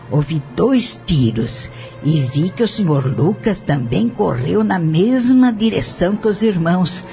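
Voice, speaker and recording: An elderly woman witness acted by a man in an audio novel, speaking very slowly with a fairly high pitch for a male voice. The delivery is formal and deliberate, with a creaky edge. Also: darkish tone, heavily acted. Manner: measured, formal, deliberate testimony. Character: elderly upright woman shopkeeper, firm courtroom witness. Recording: dull lo-fi audio with music bed. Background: music.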